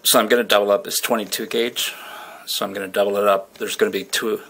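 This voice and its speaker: A man's voice: on the low side, steady tone, quick pace, creaky, fairly bright tone. A man giving casual, plain-spoken commentary as he works, thinking aloud in an informal way. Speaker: fire alarm technician apprentice narrating his work. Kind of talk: casual hands-on work vlog commentary. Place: indoor building, at ceiling space and wall panels. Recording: noticeable background noise on handheld camera.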